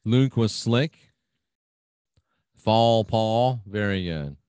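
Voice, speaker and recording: A man's voice: lowish voice, very slow, darkish tone, clear, resonant tone, no echo, clean studio sound. A man hosting his own radio show, chatting casually and reading out listener comments in a folksy, agreeable way. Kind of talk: casual talk reading out live chat comments.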